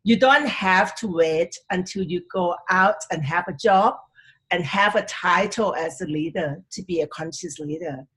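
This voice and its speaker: Calm woman from australia, guest speaker, lowish voice, slow and steady. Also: poor audio. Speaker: guest speaker, leadership trainer. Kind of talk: motivational talk to students.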